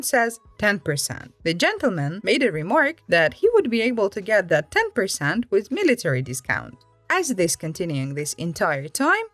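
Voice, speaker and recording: Lively, expressive woman storyteller, close dry sound. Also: mid-range voice, no room sound. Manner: expressive, acts out quoted remarks. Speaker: story reader and commentator. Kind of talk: storytelling with reaction commentary.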